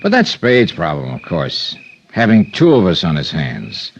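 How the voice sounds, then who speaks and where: An actor playing a cool, wry hard-boiled American investigator, dry and laconic, in a 1950s radio detective drama. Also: male voice, sits low, huge pitch swings, a little slow, vocal fry, slightly rough, heavily acted. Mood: calm, goading. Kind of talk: 1950s radio detective acting and first-person narration. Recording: dull, noisy old radio recording.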